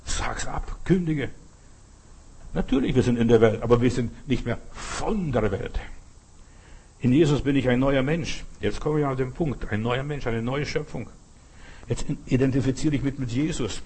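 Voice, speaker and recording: Southern german guy giving a sermon, higher husky voice, folksy, keeps checking you get it. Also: moderate pace, a little mellow. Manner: colloquial, direct address with frequent check-in questions and repetition for emphasis. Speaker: preacher. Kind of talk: informal sermon to a congregation.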